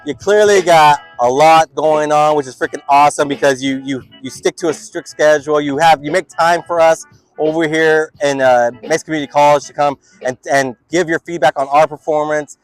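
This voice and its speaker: A man's voice: fairly high pitch, fairly even intonation, a little fast, no echo, soft background music, low-quality recording. A man hosting a casual interview, asking friendly questions with frequent restarts. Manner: friendly, hesitant, repeats words while framing questions. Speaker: student interviewer and show host.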